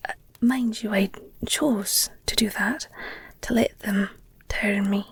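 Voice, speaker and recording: A woman playing an affectionate, candid werewolf girlfriend in an intimate ASMR audio roleplay, speaking tenderly and hesitantly in a breathy whisper. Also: mid-range voice, fairly even intonation, dry recording, heavily acted. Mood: confiding, hesitant. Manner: slow, tender, hesitant, confiding. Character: affectionate werewolf girlfriend, gentle, candid, wistful about her past.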